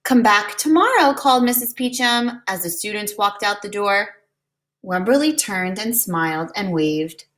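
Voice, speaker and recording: A woman reading a children's picture book aloud in an expressive, deliberate way, like a preschool teacher. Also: mid-range voice. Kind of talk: children's storybook read-aloud.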